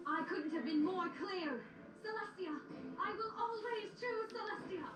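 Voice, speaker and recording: A woman's voice: extremely high pitch, moderate pace, over music. A woman playing a resolute, protective pony princess in an earnest, theatrical audio drama. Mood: defiant. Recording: roomy, distant, noisy playback.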